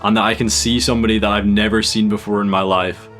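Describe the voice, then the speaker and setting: A man, calmly introducing himself. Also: fairly low pitch, quick pace, faint music behind. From Isle of Lewis, Scotland. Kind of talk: casual self-introduction.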